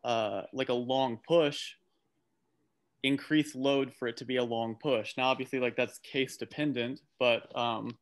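A man's voice: mid-range voice, fairly even intonation, measured pace. A man working as a coach, explaining casually on a video call, tentative and thinking aloud. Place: indoors on a group video call.

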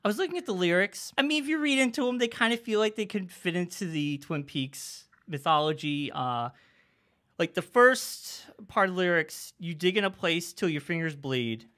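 Lively, bright-voiced male podcast host. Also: high-pitched, normal speed. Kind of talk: casual podcast discussion.

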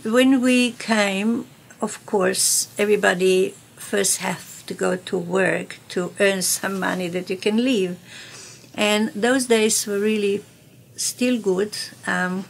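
An older woman with a Slovenian accent, a native Slovenian speaker, reminiscing in an interview in a small radio studio, with halting phrasing and many fillers. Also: medium pitch, creaky, slight background noise, low-quality recording. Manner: slow, halting speech with many fillers and restarts, gestures with hands. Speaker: interviewee, community radio broadcaster.

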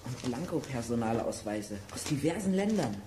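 A performer playing an articulate, excitable and slightly anxious boy detective in a children's audio drama. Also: mid-range voice, normal speed, slight background noise, natural voice. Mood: curious.

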